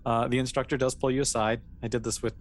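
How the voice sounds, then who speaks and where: A game master narrates a tabletop session in a casual, conversational manner. The delivery is mid-pitched and a little hesitant, with a reassuring way of explaining things. There is clear noise in the background. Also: level tone, fast.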